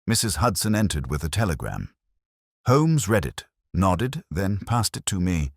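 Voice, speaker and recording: A man reading a scripted first-person mystery as an audiobook narrator, in a measured literary storytelling manner. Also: sits low, big pitch swings, dry recording, clean studio sound.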